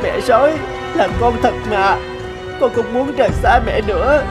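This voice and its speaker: Lonely wolf-raised boy for animation played by an actor, gentle, plaintive, earnest, loud music underneath. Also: mid-range voice, unhurried, natural voice. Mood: pleading, sad. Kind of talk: cartoon dubbing.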